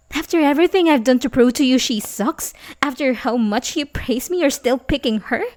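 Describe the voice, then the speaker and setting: A woman playing an obsessive, manipulative young babysitter in love in an ASMR roleplay, halting and pleading with soft menace. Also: sits high, lightly acted. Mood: disbelieving, indignant. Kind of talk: ASMR roleplay audio drama, addressing the listener.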